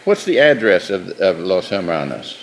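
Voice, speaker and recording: A man hosting a radio interview on location in a restaurant, asking questions in a relaxed, chatty, joking way. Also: low voice, normal speed, a little hiss or hum. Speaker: radio host.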